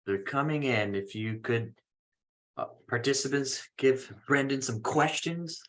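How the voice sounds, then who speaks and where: A man moderating a session, asking casually and haltingly, often checking for agreement. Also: medium pitch, fairly bright tone. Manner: halting, informal, seeks agreement. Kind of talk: casual spoken question.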